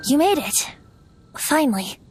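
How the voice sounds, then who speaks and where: A woman's voice: high-pitched, sing-song, measured pace, no room sound, faint music behind. A woman voicing a carefree, youthful male bard god in a video game, with a light, breezy, playful delivery. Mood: sheepish, amused. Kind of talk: English video game voice acting.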